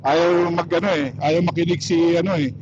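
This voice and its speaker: A man with a Filipino accent chatting casually in a motorcycle vlog, halting as he searches for words. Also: a little high, highs cut off. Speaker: motorcycle vlogger. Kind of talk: casual vlog chat while riding. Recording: steady vehicle and road noise.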